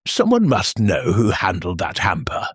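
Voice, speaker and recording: Brief: eager curious young woman, read by a man, lively pitch, dry close sound. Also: a little slow, slightly rough, dry recording, exaggerated voice.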